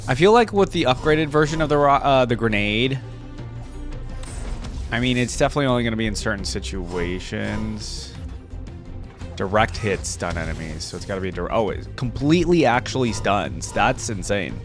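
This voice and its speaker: A man's voice: fairly low pitch, expressive, moderate pace, faint music behind. A man hosting a reaction video with casual, informal commentary, thinking aloud as he goes.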